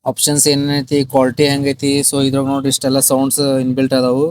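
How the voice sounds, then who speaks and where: A man's voice: mid-range voice, monotone, fast talker, a little mellow, slight background noise. A man with a North Karnataka Kannada accent presenting a product in a casual unboxing style, with repetitive sales patter and English words mixed in. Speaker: shop video host and product presenter.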